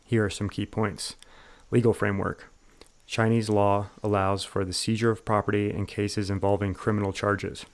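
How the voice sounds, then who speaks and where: A man hosting a solo history podcast, reading out documents and explaining events in a measured, steady way. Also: lowish voice, dry recording. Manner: measured, steady delivery with occasional fillers.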